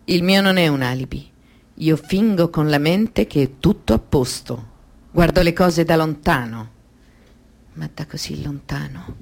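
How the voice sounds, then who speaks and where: A woman's voice: low voice, vocal fry, a little mellow, exaggerated voice. An actress playing an alienated, tormented thirty-year-old woman in an intense radio drama monologue of short sentences. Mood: numb, pained.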